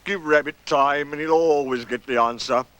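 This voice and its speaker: A man voicing a gloomy, dignified old storybook donkey with a ponderous, deadpan, sarcastic delivery. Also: fairly high pitch, normal speed, clean tone, close to an everyday voice. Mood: dry sarcasm.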